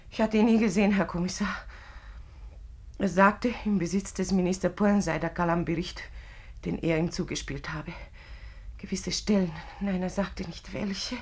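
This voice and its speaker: An actress playing a lovelorn, wounded female secretary who confesses haltingly and intensely in a 1950s German radio play. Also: fairly low pitch, husky. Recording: 1950s radio drama recording.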